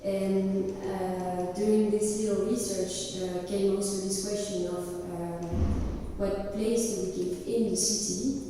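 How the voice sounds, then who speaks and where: A French woman lecturing in English, pausing often with "uh" as she searches for words. Her voice is soft and dark. It rings with long reverb from a large hall and sounds distant from the mic. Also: medium pitch, fairly even intonation, slight background noise. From France. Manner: halting, many "uh" fillers, searching for words. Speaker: architect giving a lecture. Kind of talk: live lecture to a summer school. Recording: echoey hall, speaker far from the mic.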